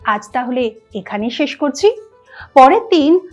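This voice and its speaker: A woman's voice: high voice, lively intonation, a little slow, muffled recording, soft background music. A woman hosting a children's story channel, talking cheerfully straight to young listeners. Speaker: channel host addressing children. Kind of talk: friendly children's show intro and outro.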